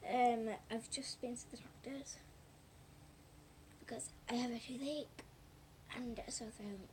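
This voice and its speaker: A woman chatting casually and haltingly through a hands-on demonstration for viewers, with a hoarse, breathy voice that keeps thinning into a whisper. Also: a little high, fairly even intonation, darkish tone. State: hoarse, losing her voice. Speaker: slime video maker talking to viewers. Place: at home indoors. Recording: noisy amateur home recording.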